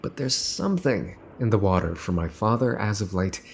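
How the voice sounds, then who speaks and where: A man's voice: lowish voice, expressive, moderate pace, a little hiss or hum. A man playing a smug, menacing young mafia boss's son in an ASMR roleplay, mocking and teasing with theatrical deliberation. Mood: wry, confiding. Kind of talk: ASMR roleplay, dramatic monologue to the listener.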